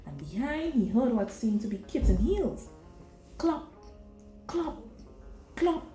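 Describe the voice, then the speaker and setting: A woman with a Grenadian accent, a visiting author who reads a story aloud to a class and then gently answers the children's questions. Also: on the high side, sing-song, slow, roomy sound, soft background music. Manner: gentle, encouraging, dramatic when reading.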